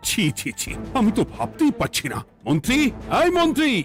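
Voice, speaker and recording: A man's voice: very high-pitched, very animated, a little fast, gravelly, faint music behind, heavily acted. A man playing an easily flustered, indignant king in a cartoon, theatrical and exclamatory. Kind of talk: cartoon voice acting.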